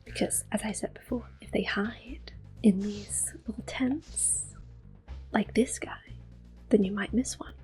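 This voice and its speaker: A woman whispering gently and unhurriedly in a soft, breathy voice, commentating a game in ASMR style up close to the microphone. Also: medium pitch, steady tone, soft background music. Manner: gentle, unhurried, softly spoken. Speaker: ASMR gaming host.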